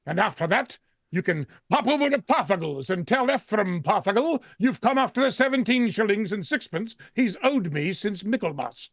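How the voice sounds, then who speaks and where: A man's voice: high voice, expressive, normal speed, no echo, clean studio sound. An actor playing a grumpy, miserly old man who bullies and sneers in vintage radio drama style. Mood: irritated, demanding. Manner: barking, scornful, emphatic. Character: grumpy, miserly old male employer, contemptuous and bullying.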